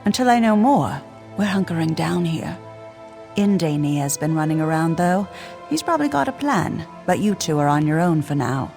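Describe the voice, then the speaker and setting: A woman's voice: low-pitched, animated, moderate pace, creaky, darkish tone, faint music behind. A woman playing a weary, blunt elf in a fantasy video game, frank and exasperated. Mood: resigned.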